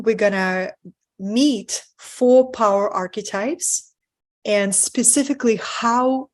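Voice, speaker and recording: A woman with a Russian accent, speaking English as her second language, leads a live online training for her community. She talks earnestly and keeps asking her viewers "right?" as she goes. Her voice sits at an ordinary middle pitch. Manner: warm, earnest, often asks "right?" to the audience. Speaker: spiritual business mentor. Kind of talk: live webinar presentation.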